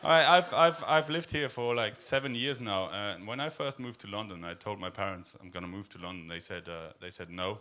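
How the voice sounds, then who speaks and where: A man with an Austrian accent doing a stand-up set in a deadpan, darkly humorous way, full of fillers and hesitations. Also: lowish voice, fairly quick, hardly any pauses, slight background noise. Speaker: stand-up comedian. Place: comedy club stage.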